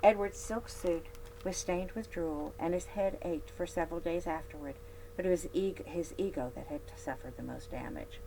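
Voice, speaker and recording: Low-voiced woman reading a storybook aloud. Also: normal speed, gravelly, rough recording. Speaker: library read-aloud host. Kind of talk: scripted storybook narration. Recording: steady background hum and noise.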